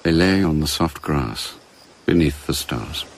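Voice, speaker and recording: An actor narrating a spy thriller radio drama as a grave, measured storyteller with an English accent. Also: male voice, rumbling low, expressive, vocal fry, full character voice. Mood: sombre, reflective.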